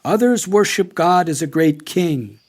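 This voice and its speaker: Husky, serene holy man teaching reverently, read by a man. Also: on the high side, lively intonation, a little slow. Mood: serene, devout. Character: serene, mysterious, ageless male Indian holy man, devout.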